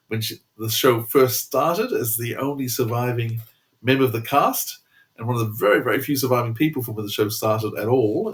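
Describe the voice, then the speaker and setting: An enthusiastic Australian man chatting casually as a podcast co-host, rambling along as he goes. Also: medium pitch.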